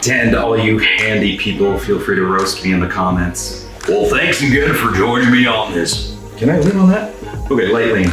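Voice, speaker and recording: A man's voice: on the low side, lively intonation, moderate pace, reverberant, recorded from a distance, music in the background. A young man giving casual, joking DIY commentary as host, in a wry, self-deprecating way. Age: young adult. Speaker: host of a DIY video series.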